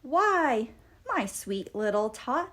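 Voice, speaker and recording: Storybook villain, played by a woman: sly grumpy creature, gloating and theatrical, high-pitched, unhurried scheming delivery. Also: sing-song, a bit bright, full character voice. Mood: falsely sweet, sly.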